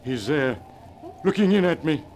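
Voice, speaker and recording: An actor playing a fearful, haunted middle-aged uncle in a melodramatic 1940s radio play. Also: male voice, fairly high pitch, lively intonation, moderate pace, over music, close to an everyday voice. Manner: grave, melodramatic. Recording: old radio recording, clear noise.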